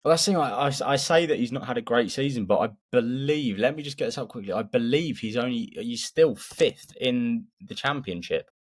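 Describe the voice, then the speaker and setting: Halting, casual male podcast co-host. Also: mid-range voice, expressive. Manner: halting, repeats words as he thinks aloud. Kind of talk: casual sports podcast chat.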